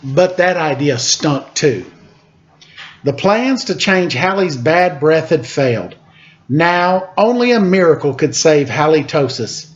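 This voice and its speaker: A man hosting a children's story time, reading a picture book aloud in an expressive, dramatic way with casual asides. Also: fairly high pitch, takes it slowly, dull audio. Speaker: storyteller and show host.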